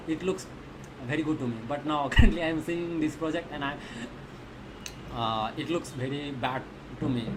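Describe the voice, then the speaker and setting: Halting Indian man streaming, noisy background. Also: medium pitch. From Magadha region of India. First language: Thethi. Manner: halting, repetitive, searching for English words. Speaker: live coding streamer. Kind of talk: casual livestream chat.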